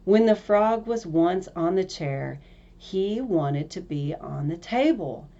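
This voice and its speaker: A woman's voice: lowish voice, lively intonation, slow pace, mellow, highs cut off. A woman narrating a fairy tale from a script as a storyteller.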